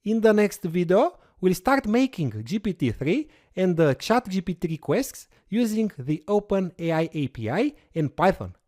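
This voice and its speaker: Romanian-native tutor, animated intonation, dry close sound, explains each step aloud. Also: medium pitch, normal speed, hardly any pauses, dry recording. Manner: explanatory, walks through steps aloud with fillers. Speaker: course instructor. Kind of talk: screen-recorded coding tutorial.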